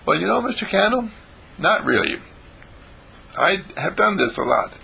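A man's voice: high-pitched, very animated, normal speed, gravelly, sharp tone, a little hiss or hum, full character voice. A man voicing an earnest, chatty four-year-old boy who speaks in repetitive, childlike phrases.

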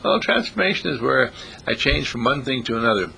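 Man doing a boastful male caterpillar, storybook tale, patient, assured, gravelly, lively intonation. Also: mid-range voice, brisk, a little hiss or hum, voice pushed up, full character voice. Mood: proud, assured. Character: self-assured, proud male caterpillar turning butterfly in a children's tale.

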